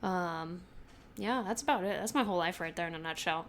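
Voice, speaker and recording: A woman's voice: fairly low pitch, fairly quick, fairly bright tone, a little hiss or hum. A woman in her thirties co-hosting a podcast, chatting in a rambling way and laughing often. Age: 30s. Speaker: podcast co-host. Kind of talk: casual chat.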